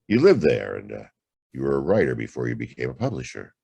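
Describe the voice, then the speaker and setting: Man acting a very deep, gravelly hard-boiled detective. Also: wildly swooping pitch, fast talker, dark tone, no room sound, exaggerated voice. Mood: accusing, probing. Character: world-weary hard-boiled private detective, adult man. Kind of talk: noir radio drama acting and first-person narration.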